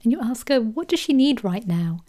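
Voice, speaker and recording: A woman leading a guided meditation, speaking slowly and soothingly, sometimes dropping into a whisper. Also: fairly high pitch, big pitch swings. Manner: slow soothing delivery with soft whispered phrases.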